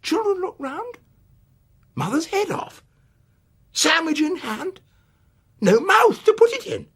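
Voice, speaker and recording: A man's voice: extremely high pitch, big pitch swings, slow, fairly bright tone, voice pushed up, heavily acted. An actor playing a loquacious, boastful male stranger who talks in jaunty, abrupt, clipped phrases. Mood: breezy, excited. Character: loquacious, breezy male stranger, boastful coach-travelling tall-tale teller.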